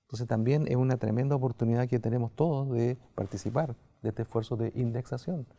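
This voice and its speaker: A man's voice: medium pitch, brisk, creaky, warm tone. A man speaking earnestly, encouraging listeners to take part, as a church leader. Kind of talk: encouraging talk.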